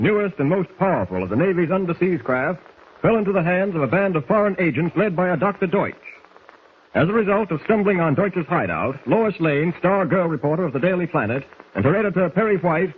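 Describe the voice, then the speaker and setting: Old radio serial narrator guy, high husky voice, scripted recap style, tinny sound. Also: very animated, a little fast.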